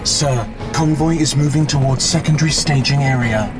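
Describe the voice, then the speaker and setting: An actor voicing a calm, dutiful AI assistant in a video game, with a formal, measured and deferential manner. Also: male voice, medium pitch, music in the background, natural voice. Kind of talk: scripted video game acting.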